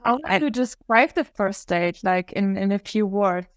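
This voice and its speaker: A woman's voice: medium pitch, normal speed, no echo. A woman hosts an interview podcast and greets her guests with an upbeat, welcoming manner. Her voice is close and dry, with no room sound around it. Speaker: podcast host.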